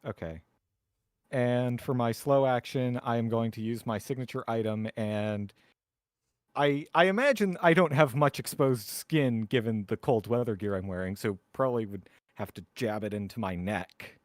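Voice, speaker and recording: A man's voice: medium pitch, normal speed, dry recording, studio quality. A man chatting casually as a player in an online tabletop roleplaying game, talking through his moves.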